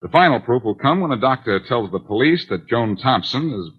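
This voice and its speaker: An actor playing a smooth, cocky detective in 1940s radio style, with wry, taunting, self-assured delivery. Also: male voice, medium pitch, very animated, a little fast. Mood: confident. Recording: dull, lo-fi 1940s radio recording.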